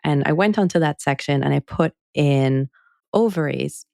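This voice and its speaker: Woman author guest, gravelly and dry-miked, measured and articulate with a wry edge. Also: sits low, darkish tone, no echo. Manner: articulate, measured, wry when telling anecdotes. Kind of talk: podcast interview answers.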